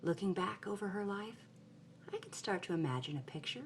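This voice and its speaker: An actress playing a dramatic, emphatic granddaughter investigating a family mystery in a scripted audio drama. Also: female voice, medium pitch, animated, fairly quick, distant mic, soft background music, close to an everyday voice. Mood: wistful, dramatic. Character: intense granddaughter investigating her film-star grandmother's mysterious death.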